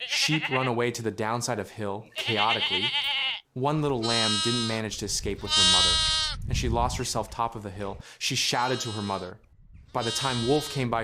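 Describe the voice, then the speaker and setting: A man reading a scripted children's story in a measured storytelling manner. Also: mid-range voice, pushed and bright. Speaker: narrator.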